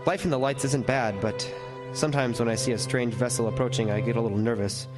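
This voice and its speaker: A man playing a haunted lighthouse keeper, narrating in old-time radio horror style with halting, suspenseful pauses and repeated words. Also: mid-range voice, fairly even intonation, over loud music, close to an everyday voice. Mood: uneasy.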